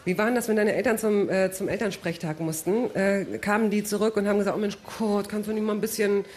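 A woman's voice: mid-range voice, steady tone, quick pace, a little mellow. Woman, radio host, chatty, teasing, mid-range voice, faint outdoor background noise. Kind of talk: casual live radio interview. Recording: outdoor live stage, faint crowd noise.

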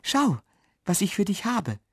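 A woman's voice: medium pitch, big pitch swings, unhurried, slightly rough, no echo, clean studio sound, voice pushed up. A woman voicing a kind, plucky and eager little girl in a children's storybook. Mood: delighted.

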